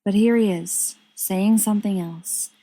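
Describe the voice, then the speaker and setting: A woman reading fiction aloud as an audiobook narrator, in an expressive scripted style. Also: medium pitch, unhurried, low-quality recording. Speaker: audiobook reader.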